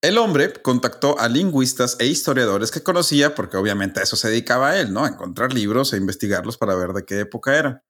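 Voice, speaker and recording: A man's voice: mid-range voice, lively intonation, fast, few pauses, a bit bright. Mexican man telling a story casually. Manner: conversational, explains with asides and tag questions. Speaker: podcast host.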